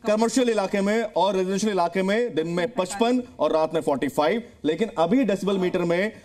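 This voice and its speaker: High-pitched male debate moderator. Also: fairly quick, darkish tone.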